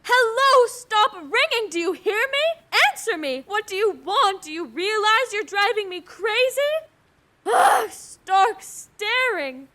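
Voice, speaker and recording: A woman's voice: expressive, slow pace, bright, forceful tone, heavily acted. A woman playing a demanding, self-pitying bedridden wife in a melodramatic suspense radio play. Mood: frantic, furious. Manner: shrill, imperious, melodramatic.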